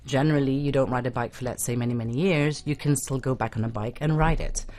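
A woman's voice: extremely low pitch, fast, a little mellow. A woman speaking as a guest on a talk show, explaining patiently with frequent tag questions and restarts. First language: not Arabic. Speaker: guest expert on children's language learning. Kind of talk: radio talk show discussion.

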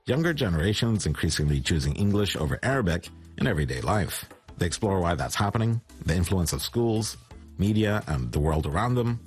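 Male announcer, deep animated voice, scripted promo read with soft music behind. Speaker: radio announcer. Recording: radio promo over music.

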